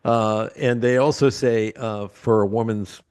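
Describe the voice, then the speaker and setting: A man's voice: on the low side, fairly even intonation, measured pace, a little mellow, clean tone. Man hosting a casual interview. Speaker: interview host. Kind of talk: casual chat.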